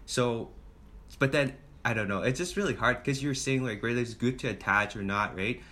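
A man's voice: medium pitch, moderate pace, sharp tone. A young man from Hong Kong chatting casually on a podcast, earnest and rambling, often laughing at himself. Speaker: podcast co-host. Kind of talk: casual chat.

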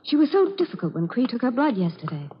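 An actress playing an earnest, determined American nurse with a professional, reassuring manner in a 1940s radio drama. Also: female voice, on the high side, big pitch swings, quick pace, slight background noise. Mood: anxious, puzzled. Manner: earnest, clearly delivered. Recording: dull old radio broadcast.

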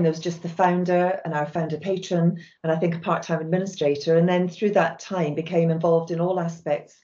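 A middle-aged woman with a Scottish accent, talking in a measured, reflective way and often restarting a phrase. Her voice sits low and dark. The recording sounds a little dull and muffled. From Scotland. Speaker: charity chief executive. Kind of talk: podcast interview answers.

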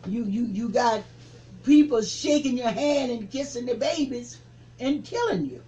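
A man in his seventies, a reverend, recalling the past in an interview with a preaching cadence that rises to forceful emphasis. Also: very high-pitched, unhurried, highs cut off. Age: 70s. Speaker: reverend, civil rights veteran. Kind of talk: interview reminiscence.

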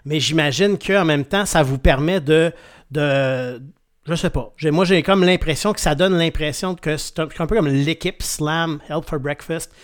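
A man's voice: a little high, measured pace, clean tone. A man with a Québécois accent interviewing musicians, asking long, hesitant, rambling questions. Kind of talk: casual interview.

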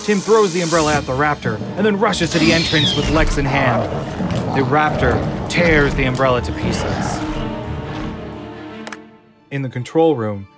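A man reading scripted narration for an audio drama in a measured, often slow and hushed way. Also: fairly high pitch. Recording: clear music underneath.

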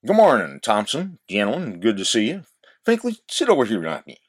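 Ruthless company chief: pompous, sardonic, swooping delivery, campy audio drama. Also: male voice, on the low side, huge pitch swings, brisk, husky, heavily acted. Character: smug, ruthless corporate boss.

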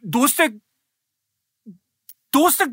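A man's voice: extremely high pitch, lively intonation, unhurried, a bit bright, dry recording, studio quality, pitched above the performer's own voice, heavily acted. A man voicing a panicked male police detective with a Kansai accent, his voice climbing as he pushes the line. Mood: distraught.

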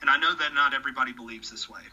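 A man's voice: medium pitch, racing, bright, forceful tone, dull audio. A man in his thirties in casual conversation, speaking earnestly about his beliefs and often repeating words as he starts a sentence. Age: 30s. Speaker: podcast guest.

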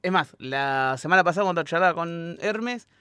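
A man with a Rioplatense Argentine accent co-hosting a film podcast in a relaxed, rambling, teasing way. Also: on the high side, moderate pace, smooth, no echo, clean studio sound. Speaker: podcast co-host. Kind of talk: casual film podcast chat. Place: studio.

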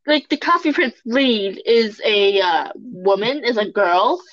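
A woman in casual chat, talking in a drawn-out, emphatic, gushing way. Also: a little high, lively intonation, no room sound, lo-fi sound.

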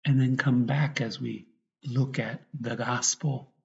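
A man, a priest, guiding a meditation and prayer in a reflective way, often pausing mid-thought. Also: medium pitch, steady tone, creaky. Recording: dull, lo-fi recording.

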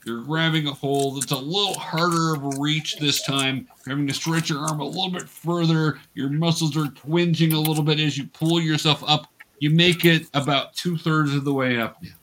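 A man's voice: fairly high pitch, steady tone, moderate pace, fairly bright tone, a little hiss or hum. Male game master, improvised narration, patient explainer who encourages the players.

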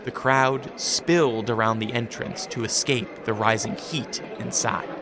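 A man narrating a radio drama from a script in a measured storytelling manner. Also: mid-range voice, fairly even intonation, music in the background, lightly acted.

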